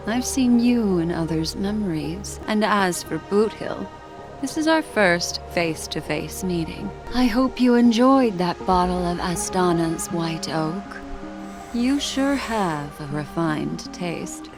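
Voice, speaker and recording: An actress playing a poised, mysterious and courteous woman in a video game, speaking in a measured, formal way. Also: fairly low pitch, expressive. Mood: courteous, composed. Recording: music behind the voice.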